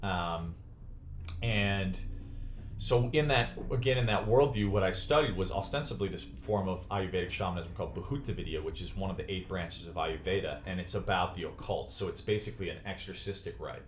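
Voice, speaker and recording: Deep-voiced man from Chicago, roomy sound. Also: a little fast, a little hiss or hum. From United States (Chicago). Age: 40s. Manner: earnest, thoughtful, restarts phrases while searching for words. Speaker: podcast guest. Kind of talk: reflective conversational interview. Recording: roomy echo.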